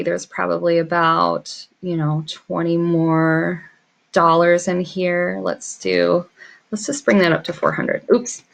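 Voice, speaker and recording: Female tutorial presenter, business owner type. Lower register, gravelly fry, informal think-aloud delivery. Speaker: small business owner teaching pricing.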